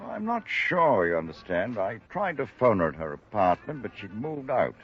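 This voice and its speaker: A man's voice: on the low side, big pitch swings, moderate pace. An actor with an American accent playing an evasive, self-justifying man in an old radio drama, talking with hesitant hedging. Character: evasive, self-justifying well-off male host reporting a theft. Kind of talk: 1940s-50s American radio drama acting. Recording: dull vintage radio recording with faint music.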